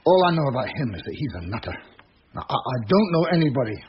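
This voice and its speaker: An actor playing a gruff, guarded ex-convict pub landlord in a radio drama, curt and defiant. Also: male voice, mid-range voice, big pitch swings, fast, natural voice. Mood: wary, frank. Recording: dull lo-fi radio audio, clear noise.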